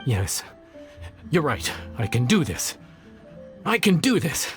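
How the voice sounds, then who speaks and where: Yanxiao, a nervous young chef. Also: male voice, on the high side, sing-song, slow, over loud music. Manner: hesitant, stammering. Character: young male chef, nervous but earnest. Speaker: chef, contestant.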